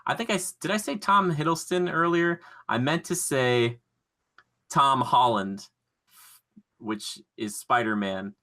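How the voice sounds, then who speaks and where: Male podcast host, bright and punchy, excitable, rambling, gushing, conversational. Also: mid-range voice, unhurried. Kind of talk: casual enthusiastic pop culture podcast chat.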